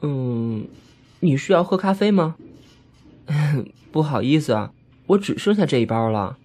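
A man's voice: a little high, animated, a little slow, darkish tone. A man playing a naive, polite young male office worker in an audio drama, hesitant and boyish. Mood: puzzled, polite.

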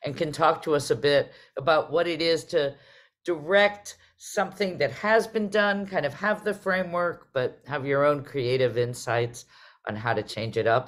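A woman's voice: very deep voice, normal speed. A middle-aged woman hosting a panel conversation over a video call in a welcoming manner. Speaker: host, theater artistic director.

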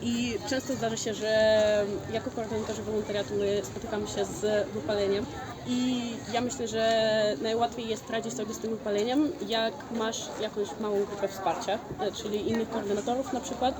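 A woman's voice: fairly high pitch, level tone, moderate pace, a loud crowd. A woman giving a spontaneous interview answer as a volunteer coordinator. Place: outdoor volunteering fair in a park. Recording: loud outdoor ambient noise.

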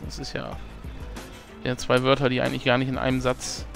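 A hoarse man hosting a let's play, chatting casually and self-mockingly, thinking aloud with fillers as he plays. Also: medium pitch, completely flat delivery, brisk, a bit bright, soft background music. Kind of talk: casual gameplay commentary.

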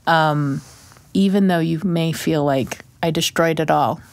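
A woman's voice: deep voice, measured pace, a little mellow, no room sound. A woman talking reflectively and earnestly in an unscripted radio discussion, with an encouraging manner.

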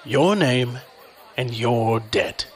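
An actor playing a wealthy, coolly menacing creditor, formal and measured, in an audio drama. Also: male voice, mid-range voice, animated. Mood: smug menace. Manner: formal, measured, proverb-quoting. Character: wealthy, punctilious crime-boss creditor, man, coolly menacing.

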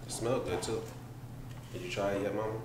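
A man's voice: fairly low pitch, fairly even intonation, fairly quick, noisy background. An American man, a vlogger, chatting casually to the camera in a laid-back, repetitive way. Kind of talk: casual vlog chat to camera. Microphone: handheld camera at arm's length.

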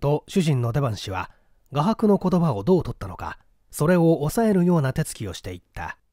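Animated male storyteller with dry sound. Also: on the high side, fairly quick, no room sound. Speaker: audiobook reader. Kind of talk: dramatic literary narration.

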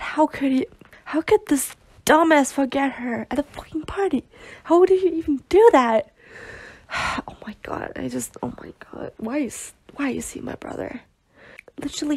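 Woman playing exasperated protective sister, foul-mouthed but tender, higher-pitched, dry close sound, ASMR roleplay. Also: creaky, darkish tone, slightly rough, dry recording, exaggerated voice. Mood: exasperated muttering. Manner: sweary, sighing, half-muttering. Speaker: roleplay voice actor.

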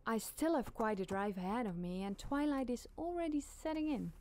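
A woman's voice: on the high side, lively intonation, moderate pace, a little mellow. A woman reading a scripted scary campfire story as a podcast storyteller.

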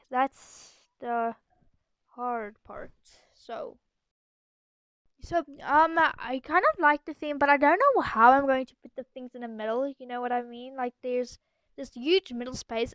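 Young girl w a high voice rambling casually over a game video, chatty host. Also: moderate pace, a bit bright, clean tone, no echo, lo-fi sound. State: sore throat. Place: echoey room at home, voice-over.

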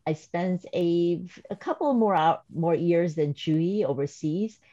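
Low mellow woman with slight Korean accent. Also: expressive, no echo. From South Korea. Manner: measured, thoughtful, some restarts. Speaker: professor and panelist. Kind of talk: webinar panel remarks. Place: home or office video call. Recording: dry, slightly dull video-call audio.

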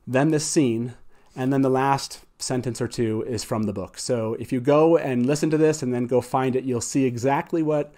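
A man in his forties hosts a show in a casual, conversational way. His voice sits at an average male pitch, picked up by a studio mic. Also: steady tone, moderate pace, mellow. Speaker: show host. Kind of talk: casual talk introducing a reading. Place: small wood-panelled studio. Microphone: close studio microphone on boom arm.

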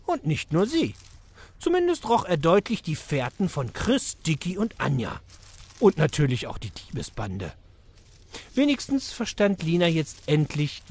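A man narrating a children's audio drama in an expressive storytelling manner. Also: a little high, gravelly, breathy edge.

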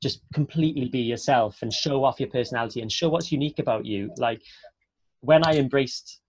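A man's voice: mid-range voice, a little fast, breathy edge. A young Welsh man with a Welsh accent, an actor chatting on a video call, talking in loose runs full of fillers and restarts. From Wales. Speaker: actor, guest speaker. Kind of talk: casual video-call interview. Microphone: earphone mic on a video call. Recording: dry, slightly dull video-call audio.

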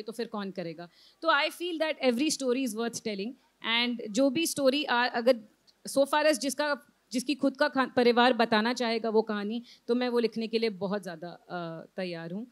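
A woman's voice: fairly high pitch, measured pace. A woman in her thirties with an Indian accent, an author speaking on a festival panel into a handheld mic, reflective and full of fillers, slipping into English phrases. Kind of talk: panel discussion. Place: outdoor literary festival stage.

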